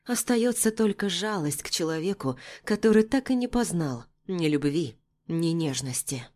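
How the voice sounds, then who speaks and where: A woman reads scripted fiction in the first person as an audiobook narrator. Her voice sits a little low for a woman. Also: lively intonation, normal speed, a little mellow.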